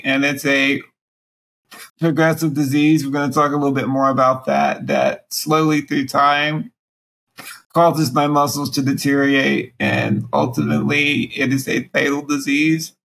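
A man in his forties with a raspy voice, speaking through a breathing machine mouthpiece and pausing often as a guest on a video call. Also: on the high side, expressive, fairly bright tone, rough recording. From Alabama. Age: 40s. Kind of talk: candid personal interview. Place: small room at home, indoors.

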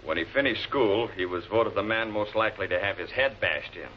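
An actor with an American accent playing a hard-boiled, wisecracking police lieutenant with a clipped, flippant manner in a 1940s crime drama. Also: male voice, lowish voice, fairly quick, lightly acted. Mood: flippant, brisk. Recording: dull, hissy old 16 mm film soundtrack.

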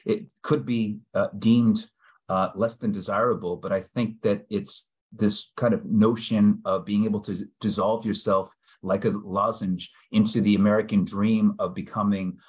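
A man's voice: on the low side, flat delivery, normal speed, a little mellow, breathy edge. A middle-aged man from New England hosting a book club discussion on a video call, thoughtful and hesitant, with many fillers. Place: small indoor room.

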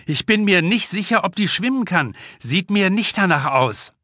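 A man's voice: high voice, expressive, moderate pace, sharp tone, dry recording, clean studio sound, voice pushed up, full character voice. Urgent young male lifeguard, voiced by a man. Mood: alarmed. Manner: urgent, blunt. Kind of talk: audiobook character dialogue.

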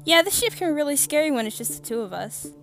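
A woman voicing a sweet, bubbly young female crewmate in a comic dub, with a cutesy, expressive delivery. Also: high voice, fast, faint music behind, full character voice. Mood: sleepy, caring. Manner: cutesy, sing-song, expressive.

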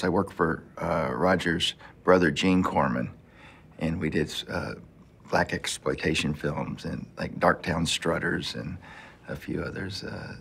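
Older guy, very deep husky gravelly voice, laid back, lots of uhs. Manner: easygoing, unhurried, many fillers. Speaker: art director interviewee. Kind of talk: reminiscing interview talk. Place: indoor room with plants.

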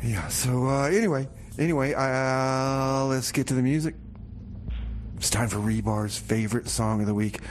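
A man's voice: mid-range voice, steady tone, normal speed, a little hiss or hum. A man hosting a casual comedic radio show in a folksy, rambling way, often repeating himself. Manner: folksy, rambling, hesitant repetitions.

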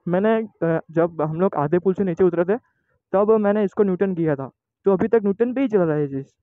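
Young male motovlogger from Gujarat, chatty and excitable, high voice, muffled helmet-mic audio with wind. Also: a little fast, no echo. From Gujarat, India. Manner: chatty and excitable, calls viewers guys. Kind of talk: casual motovlog narration while riding. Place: outdoors on a moving motorbike.

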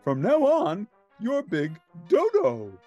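A man's voice: high voice, wildly swooping pitch, takes it slowly, dark tone, highs cut off, faint music behind, pitched above the performer's own voice, heavily acted. A man voicing a proud, pompous and dim-witted father bird in a storybook, talking emphatically. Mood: blunt, bossy.

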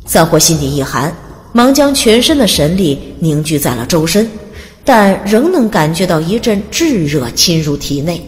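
A woman's voice: fairly low pitch, big pitch swings, normal speed, in a large hall. A woman narrating a fantasy audiobook in a dramatic, scripted storytelling style. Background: music.

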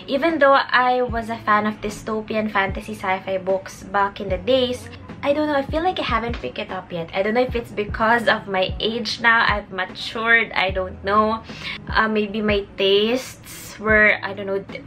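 A woman's voice: on the high side, sharp tone. Chatty young woman vlogger. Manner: chatty, rambling with restarts, switches to Tagalog phrases. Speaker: book vlogger. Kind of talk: casual talk to camera. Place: small bedroom, indoors.

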